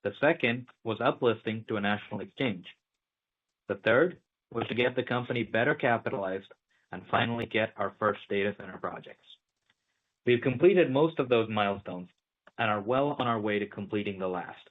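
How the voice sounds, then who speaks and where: A man's voice: fairly low pitch, moderate pace. A man giving prepared remarks on an earnings call as a company's chief executive. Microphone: phone or conference line. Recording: thin phone-line conference call.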